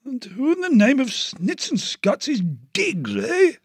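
A man's voice: very high voice, very animated, measured pace, breathy edge, dry recording, clean studio sound, pitched above the performer's own voice, full character voice. A man voicing a blustering, pompous old male hare colonel who speaks in indignant outbursts. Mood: scornful. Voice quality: fussy and indignant. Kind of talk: audiobook character voice.

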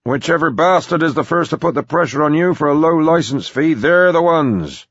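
A man's voice: a little high, lively intonation, moderate pace, a bit bright, breathy edge, no room sound, muffled recording, voice pushed up. A man voicing a blunt, domineering old tycoon grandfather with a sardonic, emphatic manner in an audiobook. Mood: gruff, emphatic. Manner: blunt, emphatic, mildly coarse.

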